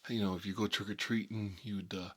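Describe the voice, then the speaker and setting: A man's voice: on the low side, fairly even intonation, brisk, husky. A man playing a nostalgic, folksy mob boss, telling rambling stories in a casual ASMR style. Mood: reminiscing. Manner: rambling, folksy, frequent "you know". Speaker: host of a character story series.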